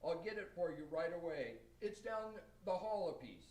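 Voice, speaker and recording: A man's voice: on the high side, animated, moderate pace, a little mellow, close to an everyday voice. A man playing a jolly, hospitable old Santa Claus with a hearty, rhyming delivery in amateur radio theater. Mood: jolly, generous. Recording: distant, roomy live radio stage recording.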